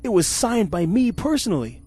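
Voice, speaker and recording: An actor playing a vain, bombastic, incompetent spaceship captain in a campy 1950s-style space radio serial. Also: male voice, high-pitched, sing-song, moderate pace, darkish tone, slightly rough, soft background music, exaggerated voice. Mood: pompous, self-important. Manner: pompous, theatrical.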